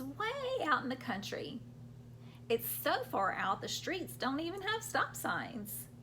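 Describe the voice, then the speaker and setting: A woman's voice: fairly high pitch, pushed and bright, a little hiss or hum. A woman hosting a children's storytime, reading picture books aloud expressively and explaining things simply for young listeners.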